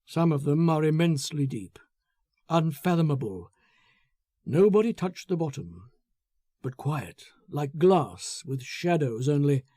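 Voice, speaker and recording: A man's voice: a little high, animated, a little slow, warm tone, no echo, clean studio sound. A man voicing a brooding, resentful man in an audiobook, terse and restrained, hiding menace behind courtesy. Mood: quiet, controlled menace. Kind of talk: audiobook character acting.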